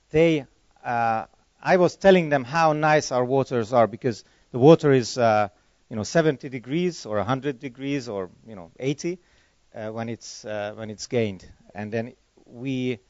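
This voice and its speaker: A man's voice: mid-range voice, a little mellow, no room sound. Guy fielding questions at a conference, rambly, keeps fixing his words, dry dull lo-fi sound. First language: Hungarian. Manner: chatty, rambling, self-correcting. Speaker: conference speaker. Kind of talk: conference Q&A answers.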